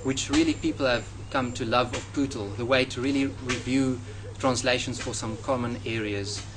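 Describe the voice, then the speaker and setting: A man in his thirties with a South African accent, a native Afrikaans speaker, answering interview questions in a measured, earnest way outdoors in front of a hedge. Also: medium pitch, fairly even intonation. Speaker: software developer. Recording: outdoor noise with passing traffic.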